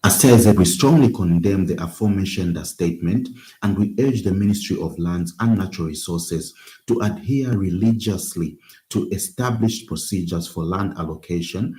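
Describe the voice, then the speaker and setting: Man w a low mellow voice reading out an official statement, formal and firm. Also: normal speed. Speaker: advocacy group spokesman.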